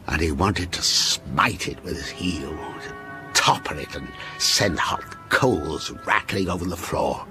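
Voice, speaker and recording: An actor playing a menacing, obsessive man who tells a ghost story to a captive listener in a radio drama, insistent and intimate. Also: low voice. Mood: bitter, brooding.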